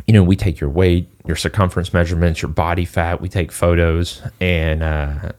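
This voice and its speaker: A man's voice: deep voice, fairly even intonation, moderate pace, darkish tone. A man from Singapore, a fitness coach guest on a podcast, talking in a loose, rambling way with lots of "you know" and "like.". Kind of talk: casual podcast conversation.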